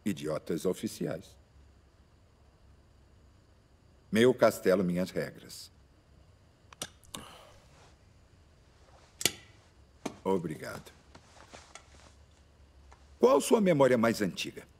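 A man's voice: deep voice, creaky, slight background noise, exaggerated voice. A male actor with a Brazilian accent dubbing an unflappable older speech therapist, informal, probing and wryly insistent. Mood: wry, firm. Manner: calm, measured, wry, insistent. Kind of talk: film dubbing in Portuguese.

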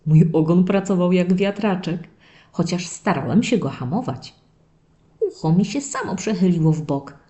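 A woman voicing a playful young male terrier puppy who tells stories from his diary to children in an expressive, dramatic way. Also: fairly low pitch, close to an everyday voice. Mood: hushed, confiding. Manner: expressive, drawn-out dramatic telling addressed to children. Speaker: narrator of a children's audio story series. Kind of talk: animated first-person children's storytelling.

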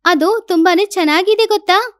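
Woman as a squeaky, kindly little sparrow. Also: moderate pace, no echo, clean studio sound. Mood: forgiving, proud. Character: kind, forgiving sparrow turned ice cream seller.

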